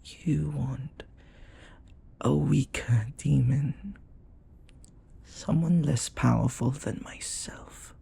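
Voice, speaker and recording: Jealous demon boyfriend for roleplay audio, played by a man: mellow, husky, intimate, formal and devoted. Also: medium pitch, very slow, pauses often, full character voice. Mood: hurt, jealous.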